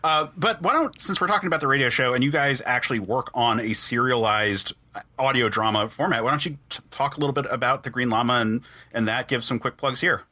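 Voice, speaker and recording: A man with a bright, forward voice speaks as a podcast host. His delivery is casual and conversational, with a middling pitch for a man. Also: a little fast.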